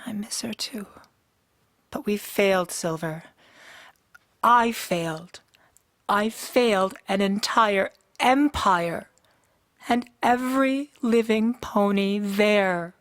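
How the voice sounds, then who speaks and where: Woman as regal elder pony princess, stern, formal, unhurried, radio drama, dry close sound. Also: mid-range voice, no echo, lightly acted. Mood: weary, regretful. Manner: formal, grave, measured.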